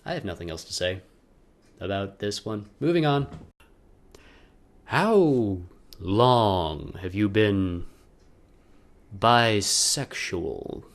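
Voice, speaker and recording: A young adult man giving a loose dramatic reading of a personal letter, halting for emphasis, repeating phrases and dropping in wry asides. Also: lowish voice, animated. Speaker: video letter narrator.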